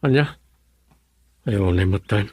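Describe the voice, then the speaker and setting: An actor playing an easygoing, broke husband who works construction, in a radio drama. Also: male voice, fairly low pitch, animated, measured pace, darkish tone, dry recording, clean studio sound.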